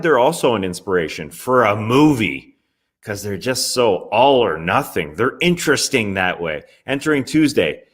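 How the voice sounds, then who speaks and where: Young man, sports recap host, casual chatty delivery, wry asides, mid-range voice. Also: mid-range voice. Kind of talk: NBA recap commentary.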